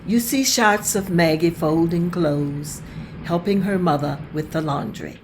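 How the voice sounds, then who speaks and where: A woman reads stage directions aloud in a plain, steady, even way. Her voice is on the low side. The recording carries clear background noise. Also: unhurried. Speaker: narrator reading stage directions. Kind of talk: table-read narration of a script.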